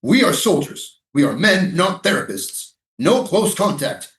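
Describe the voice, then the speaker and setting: A man performs an authoritarian military guard in a stage drama. The voice is high and hard, with clipped, barking delivery. It sounds distant from the microphone in a very echoey space. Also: very animated, measured pace, fairly bright tone, full character voice. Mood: stern. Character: hard, rigid male military guard commander, obedient and hostile. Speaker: actor in a staged reading. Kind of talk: theatrical staged reading.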